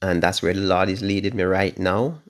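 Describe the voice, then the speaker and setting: A man's voice: low-pitched, fairly even intonation, normal speed. A man in his fifties with a Jamaican accent, preaching a livestream Bible study from his living room. He talks fervently, repeats phrases and draws out stressed words. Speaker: pastor. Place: living room at home, indoors. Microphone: phone camera at arm's length.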